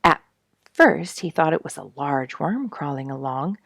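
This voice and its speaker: Female children's storyteller: low, slightly gravelly, very lively intonation, expressive read-aloud narration. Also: a little slow, no room sound, studio quality.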